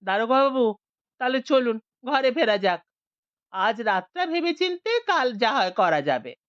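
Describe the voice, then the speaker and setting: A woman voicing a calm, reasoning middle-aged village man in a Bengali audio story, speaking in a measured, persuasive way. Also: on the high side, no echo, dull audio.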